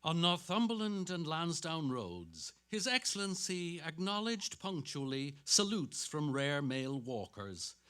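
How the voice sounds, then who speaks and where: A man's voice: fairly high pitch, very animated, unhurried, hardly any pauses, fairly bright tone, clear, resonant tone. A man performing a dramatic reading of literary narration as an actor.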